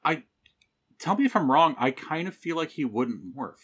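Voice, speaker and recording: A man's voice: on the high side, very animated, normal speed, a bit bright, slightly rough. A man in casual chat with friends about their tabletop game, joking and rambling with lots of laughter. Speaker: podcast cast member.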